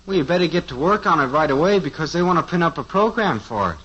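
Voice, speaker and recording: A man's voice: a little high, lively intonation, fast talker, fairly bright tone, husky. An actor playing an eager, scheming teenage son in a 1950s radio sitcom, earnest and breezy, fumbling his words. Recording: dull lo-fi old radio transcription.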